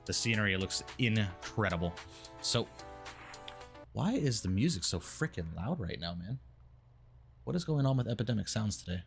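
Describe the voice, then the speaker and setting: A man's voice: fairly low pitch, expressive, normal speed, gravelly, long pauses. A man live-streaming a flight sim on a headset mic, slightly nasal and congested from a mild flu, upbeat as he greets chat and runs through cockpit setup. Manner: upbeat, reads out chat names and cockpit steps. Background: background music.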